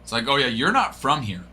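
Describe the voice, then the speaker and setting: A man's voice: mid-range voice, bright, forceful tone, a little hiss or hum. A middle-aged man hosting a live card break, chatting casually and rambling to his viewers. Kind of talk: casual live-stream chat.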